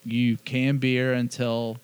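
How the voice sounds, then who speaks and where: A man's voice: medium pitch, completely flat delivery, slow pace, clear, resonant tone, dry recording. A man in his thirties, easygoing and joking as he hosts a podcast among friends. His sentences drift and trail off. The recording is close and dry, with no room sound. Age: 30s. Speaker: podcast host. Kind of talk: casual podcast chat.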